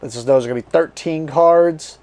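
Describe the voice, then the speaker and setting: A man hosting a casual card game unboxing, his voice strained and tiring as he reads card names aloud in short halting bursts. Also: on the high side, a little mellow. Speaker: board game channel host.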